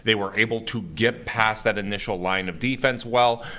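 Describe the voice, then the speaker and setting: Man, podcast host, raspy, a little hoarse, lowish voice, forceful tone, casual energetic sports talk. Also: moderate pace. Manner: energetic, emphatic basketball analysis. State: slightly hoarse from cheering.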